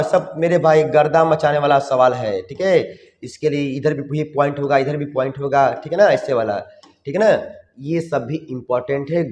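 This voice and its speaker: A slightly hoarse man, teaching a lively physics exam revision lesson with folksy coaching and constant tag questions. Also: medium pitch. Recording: roomy echo, long reverb.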